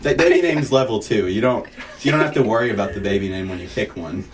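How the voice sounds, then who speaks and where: A man's voice: fairly low pitch, quick pace, a little hiss or hum. A young man co-hosting a college radio show in a studio, teasing his guests with dry jokes in casual chat.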